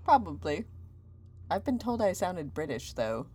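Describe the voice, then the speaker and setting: Low-voiced American woman, playful. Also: measured pace, slight background noise. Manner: playful, deadpan jokes. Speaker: podcast co-host. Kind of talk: casual comic chat.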